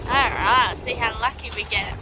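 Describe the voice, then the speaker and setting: A woman's voice: a little high, moderate pace, low-quality recording. A young Australian woman vlogging on a windy jetty, chatting and giggling to a phone held at arm's length. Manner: chatty and giggly, talks to the camera. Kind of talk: casual vlog narration. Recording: loud background music.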